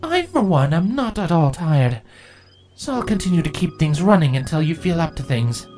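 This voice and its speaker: A man voicing a dutiful female changeling queen in a storybook reading, raised in pitch, measured and formal. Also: sing-song, a little mellow, soft background music. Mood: steady.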